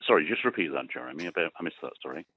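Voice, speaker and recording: Male caller, very low voice, hesitant with fillers, dry thin phone sound. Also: animated, racing, no echo. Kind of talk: phone-in debate. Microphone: telephone. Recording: tinny phone line, dry.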